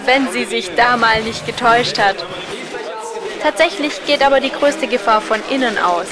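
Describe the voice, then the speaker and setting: A woman's voice: a little high, normal speed, crowd noise. A woman reading scripted narration as a newsreel reporter.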